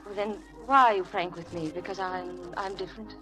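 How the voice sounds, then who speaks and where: An actress playing a shy, earnest, lovestruck young gentlewoman, hesitant and sincere, in 1940s radio drama style. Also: lowish voice, steady tone, normal speed, over music, natural voice.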